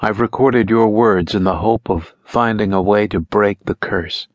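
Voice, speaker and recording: A desperate young man begs his sister in an earnest, pleading voice, voiced by a male narrator. The voice is low and close, with a dry, unroomy sound. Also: measured pace, no echo, natural voice.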